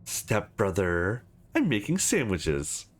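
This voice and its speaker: A man's voice: fairly low pitch, wildly swooping pitch, slow, exaggerated voice. Man putting on a brash teasing young woman, swooping.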